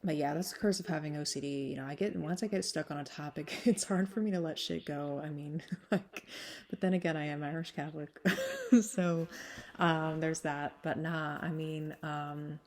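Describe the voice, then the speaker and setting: A woman with a smoky, slightly hoarse voice, still getting over a cold, chatting casually to her followers with lots of fillers and frequent laughs. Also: low-pitched, normal speed, a little mellow. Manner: chatty, full of fillers, laughs often, drifts back and forth. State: recovering from a cold, sinus congestion. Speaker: vlogger. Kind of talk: casual rambling chat to followers.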